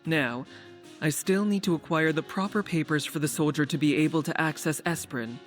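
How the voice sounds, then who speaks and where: A woman's voice: low voice, lightly acted. Woman acting a composed, commanding rebel leader. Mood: firm. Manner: measured, formal, commanding. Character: composed, authoritative female resistance leader. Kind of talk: video game quest dialogue. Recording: faint background music.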